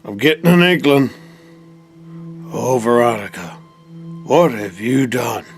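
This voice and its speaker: Man as slow, stern, weary father. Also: medium pitch, animated, faint music behind. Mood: weary dismay. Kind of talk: audio drama acting.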